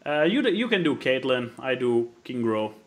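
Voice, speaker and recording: A man's voice: mid-range voice, very animated, normal speed. A man co-hosting a podcast, chatting casually with dry sarcasm. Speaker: podcast co-host. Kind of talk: casual chat.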